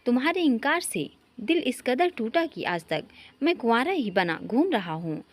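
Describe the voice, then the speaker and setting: A woman's voice: a little high, sing-song, normal speed. A woman storyteller voicing a teasing, affectionate male engineer talking familiarly to an old college friend. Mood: joking. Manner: playful, familiar.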